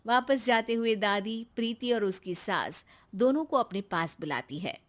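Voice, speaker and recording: A woman's voice: a little high, lively intonation, a little slow. A woman narrator reading a scripted moral tale as storytelling.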